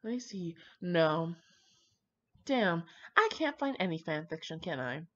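Young woman rambling casually, sweary and self-mocking, really up and down pitch, kinda dull muffled mic. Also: animated, a little slow, fairly bright tone. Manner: irreverent, foul-mouthed, self-mocking. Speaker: host of a fan fiction reading channel. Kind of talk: casual rambling chat to viewers.

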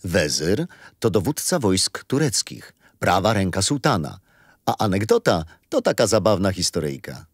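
A kindly old grandfather in a children's radio play, explaining things patiently with gentle storytelling. His intonation moves around in a lively way and the sound is close and dry. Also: low-pitched, moderate pace, no room sound, heavily acted. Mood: storytelling, amused.

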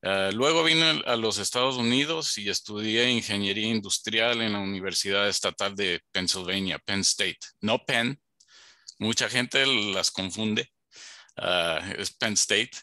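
A man in his fifties from Ecuador, giving a measured panel introduction on a video call over a headset. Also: lowish voice, bright, forceful tone, no echo. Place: home office on a video call.

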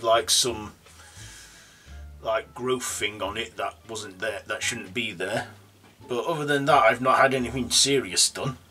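Guy in his twenties rambling thru a tag vid at home, bit higher voice. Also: a bit bright. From Yorkshire, England. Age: 20s. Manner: rambling, with restarts, reading questions aloud. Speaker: YouTuber. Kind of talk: casual tag video. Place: room at home, indoors.

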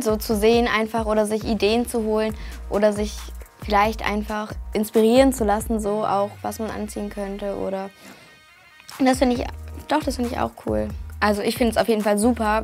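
Young woman, interviewed actress, flat intonation, earnest casual talk, faint music behind. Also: mid-range voice, fairly quick. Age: teens or young adult. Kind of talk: casual on-camera chat. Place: small cosy indoor room.

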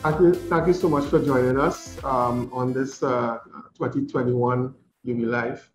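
A man, hosting an informal conversation in a halting, conversational way as a university principal. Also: mid-range voice, steady tone. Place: office, indoors. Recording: roomy office sound, faint music bed.

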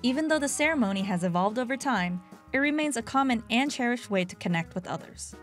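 A young woman host giving clear, informative scripted narration for a food show. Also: on the high side, animated, fast, faint music behind.

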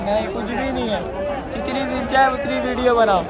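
A man selling clothes at an outdoor market stall, loudly calling out prices and bantering. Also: very high voice, steady tone, moderate pace, over loud music. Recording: loud crowd around.

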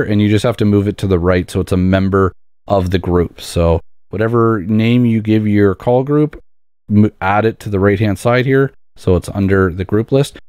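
Male tutorial narrator, relaxed dark timbre, dry close-miked sound, explanatory delivery that underlines key points by repetition. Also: on the low side, smooth, no room sound. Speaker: tutorial presenter. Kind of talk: step-by-step software tutorial narration.

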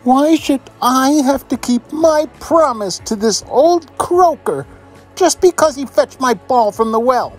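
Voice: high-pitched, animated, a little slow, soft background music, voice pushed up. A storyteller voicing a rude, spoiled young princess who snaps in disgust in a children's story. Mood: snappy. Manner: snappish, disgusted outbursts. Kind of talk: storybook character voice.

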